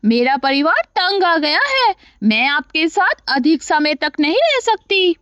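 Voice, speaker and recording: Woman as a very high-pitched complaining cartoon lioness. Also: very animated, measured pace, fairly bright tone, no room sound, lo-fi sound, full character voice. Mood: fed up. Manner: reproachful, haughty. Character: discontented, complaining lioness queen. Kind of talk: animated moral story acting.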